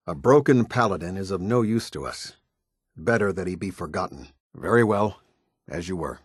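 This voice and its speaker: A man voicing a stern, disciplined military captain in a video game, with a husky voice and a clipped, commanding, formal delivery. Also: deep voice, no echo, clean studio sound, exaggerated voice. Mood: stern, disdainful. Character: stern male military captain, disciplined, exacting, loyal to his order.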